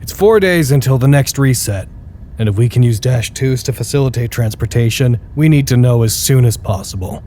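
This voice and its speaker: An actor playing an anxious, stubborn research staffer in an audio drama, speaking in a casual, wry, self-deprecating way. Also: male voice, medium pitch, fairly quick, warm tone, a little hiss or hum, lightly acted. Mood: dry narration. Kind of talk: naturalistic audio drama acting.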